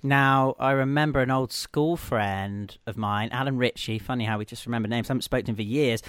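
Brief: male radio host, mid-pitched, dry studio mic, talkative and jokey. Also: fairly quick, fairly bright tone, smooth, dry recording. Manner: chatty, laughs easily, self-correcting. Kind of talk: casual radio chat.

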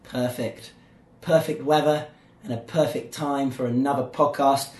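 A man hosting a podcast in a casual, joking way, his voice croaky and hoarse. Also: mid-range voice, fairly even intonation, unhurried, darkish tone, roomy sound. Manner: chatty, joking, with filler words. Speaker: podcast host.

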